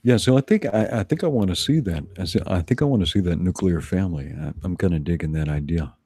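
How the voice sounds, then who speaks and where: A man's voice: low voice, quick pace, dark tone, slightly rough, dry recording. A man in casual livestream conversation as a co-host, talking informally and repeating his phrases.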